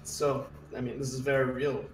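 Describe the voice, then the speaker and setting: A man's voice: mid-range voice, little pitch movement, normal speed, slight background noise. A man talking casually and a little hesitantly as a player in a tabletop roleplaying game. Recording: roomy, echoey sound.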